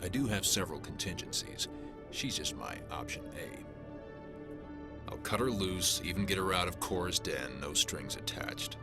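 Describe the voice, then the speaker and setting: A man playing a gruff, pragmatic alien police detective in a video game, blunt and brusque. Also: sits low, animated, measured pace, over loud music. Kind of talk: game voice acting.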